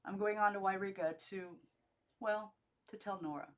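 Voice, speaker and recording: Woman playing a working man, young father, gruff, blunt, colloquial, audiobook dialogue. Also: medium pitch, fairly even intonation, normal speed, lightly acted. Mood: matter-of-fact.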